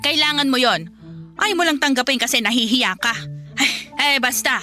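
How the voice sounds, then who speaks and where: A woman's voice: high voice, normal speed, bright, forceful tone. A woman playing a pushy, nagging middle-aged mother in a Filipino radio drama, chattering and scolding with exclamations. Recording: music bed behind the voice.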